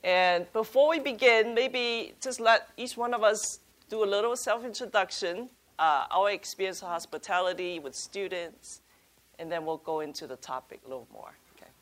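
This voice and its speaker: A woman born in China, a campus ministry leader, introducing a workshop panel in a halting, upbeat way with many fillers. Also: mid-range voice.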